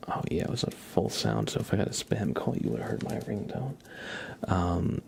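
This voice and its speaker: A man hosting an ASMR livestream, chatting casually and gently with viewers in a soft, breathy, mostly whispered voice. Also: low voice, very animated. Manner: soft-spoken, gentle, unhurried.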